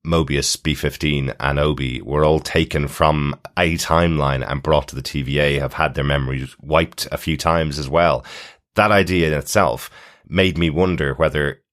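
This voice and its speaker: A man's voice: very deep voice, normal speed, fairly bright tone. A man co-hosting a podcast, chatting casually and musing aloud about a TV episode. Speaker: podcast host. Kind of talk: casual TV recap discussion.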